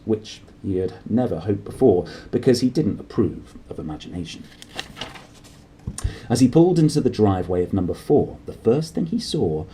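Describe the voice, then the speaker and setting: Animated, low-voiced man narrating a story. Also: slight background noise. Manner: theatrical, expressive. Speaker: audiobook reader. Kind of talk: dramatic scripted storybook narration.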